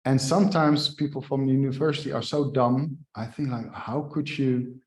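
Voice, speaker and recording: A man on a discussion panel who speaks in an animated, halting way, stumbling over words. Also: mid-range voice. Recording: echoey room.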